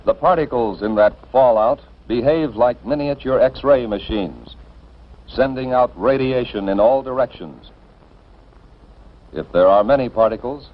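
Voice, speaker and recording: An American man reading scripted 1950s instructional film narration in an authoritative, deliberate manner, addressing the listener directly and emphatically. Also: medium pitch. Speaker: narrator of civil defense educational film. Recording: dull band-limited vintage film soundtrack.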